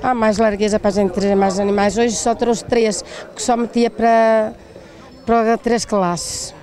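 A woman's voice: a little high, fairly even intonation, normal speed, a little mellow. A middle-aged woman with a rural northern Portuguese accent, a plain-spoken cattle breeder repeating herself in a casual outdoor interview. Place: outdoors by a stone wall. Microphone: handheld interview microphone.

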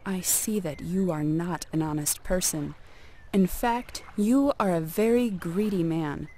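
A woman voicing a stern, composed goddess of a lake in a formal, measured storybook manner. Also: on the low side, dark tone, a little hiss or hum, natural voice.

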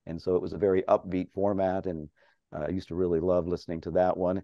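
A man's voice: sits low, little pitch movement, fast talker, no echo. An older man, a longtime radio broadcaster, chatting animatedly as an interview guest over a video call, laughing often. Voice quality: strong voice. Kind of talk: casual storytelling. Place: home office. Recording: dull video-call audio.

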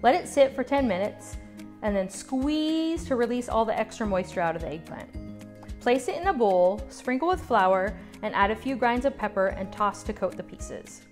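A woman giving calm, scripted step-by-step cooking instructions as a voice-over. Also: fairly high pitch, moderate pace. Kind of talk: scripted recipe narration. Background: soft background music.

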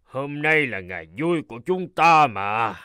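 An actor playing a burly, boastful, hot-tempered royal guard and bridegroom in a cartoon folk tale, emphatic and demanding. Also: male voice, a little high, lively intonation, a little slow, over music. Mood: puzzled. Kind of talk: animated folk-tale dialogue.

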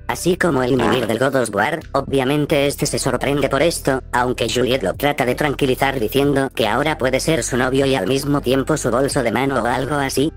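A man narrating a scripted, comedic recap of a video game as a voice-over, full of sarcastic asides. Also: medium pitch.

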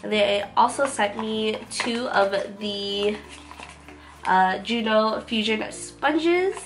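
A woman's voice: medium pitch, extremely slow, poor audio. A woman in her twenties with a nasal, congested voice from a cold, giving an enthusiastic, casual makeup review as a beauty vlogger. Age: 20s. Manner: enthusiastic, gushing. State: getting over a cold. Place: small room at home, indoors. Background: background music.

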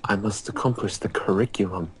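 A man's voice: lowish voice, a little fast, slightly rough. A young man doing an amateur dramatic reading aloud among friends on an online group call, stumbling now and then over the wording. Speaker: fanfiction reader in a group call. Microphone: computer mic on a group internet call. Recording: hissy online group call, mouse clicks.

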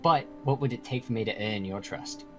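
A man's voice: lowish voice, a little fast, natural voice. A man playing an earnest, persistent mortal mediator with a persuasive manner in an improvised video game roleplay. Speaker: roleplay actor. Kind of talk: improvised Minecraft supernatural roleplay. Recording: music behind the voice.

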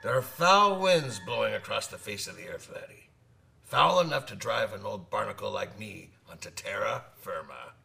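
A man's voice: on the low side, animated, moderate pace, creaky, pushed and bright, full character voice. An actor in a radio drama playing a hearty old one-eyed sailor who spins boastful yarns in folksy nautical talk, repeating his phrases. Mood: grim, storytelling. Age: older.

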